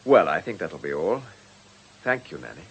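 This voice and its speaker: A man playing a gentleman police inspector in a radio drama, polite and measured in his questioning, with a dry wit. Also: low voice, very animated, gravelly, slight background noise, full character voice. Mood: grave. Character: courteous, probing, wry gentleman police inspector.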